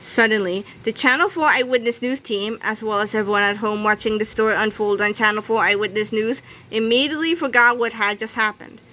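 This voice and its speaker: Bright, high-voiced woman reading aloud. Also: fairly quick, few pauses, slight background noise, poor audio. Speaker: storybook reader. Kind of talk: expressive children's book read-aloud.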